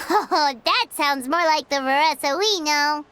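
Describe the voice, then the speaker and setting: A woman voicing a small, perky, food-loving fairy companion in a video game, squeaky and childlike with a bubbly, exclamatory manner. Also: measured pace, pushed and bright, a little hiss or hum. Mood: cheerful, chatty. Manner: bubbly, childlike, exclamatory, speaks of self by name.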